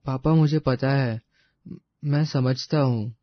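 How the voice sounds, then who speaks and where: A man's voice: mid-range voice, steady tone, slow pace, dry recording, dull audio, close to an everyday voice. A man playing a polite, earnest young college student in a story drama. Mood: earnest, respectful. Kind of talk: acted Hindi audio story dialogue.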